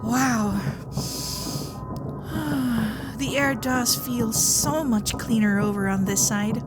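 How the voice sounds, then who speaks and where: A woman plays a young fox-spirit girlfriend in an ASMR roleplay, with music clearly behind her. She takes her time, speaking at a medium pitch. Her manner is sweet and protective, with shy, halting hesitations. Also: close to an everyday voice. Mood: relieved, wistful. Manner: hesitant, flustered, caring, softly halting.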